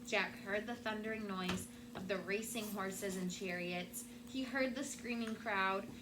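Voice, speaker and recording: A woman's voice: medium pitch, fairly even intonation, a little slow. A woman teacher reading a storybook aloud to young children with dramatic, emphatic phrasing. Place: classroom. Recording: noisy room with background ambience.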